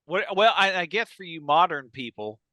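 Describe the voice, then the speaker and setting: A man hosting a casual comedy discussion, thinking out loud in a halting way and repeating himself. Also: a little high, animated, no room sound. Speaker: podcast host.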